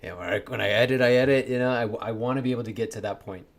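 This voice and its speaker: A man's voice: medium pitch, very fast. A young man in casual chat, rambling earnestly about grinding for his goals, swearing often and laughing easily. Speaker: content creator.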